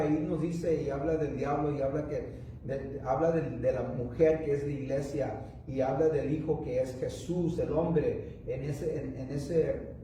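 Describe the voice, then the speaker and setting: Halting preacher in an echoey church. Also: male voice, a little high, mellow, far from the mic, slight background noise. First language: English. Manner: rambling, halting with many restarts and fillers. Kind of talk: sermon.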